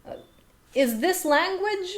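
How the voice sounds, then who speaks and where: A woman's voice: very high voice, animated, takes it slowly. Sarcastic woman linguist. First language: Slovenian. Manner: sarcastic, full of rhetorical questions. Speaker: linguist and video host. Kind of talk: commentary vlog.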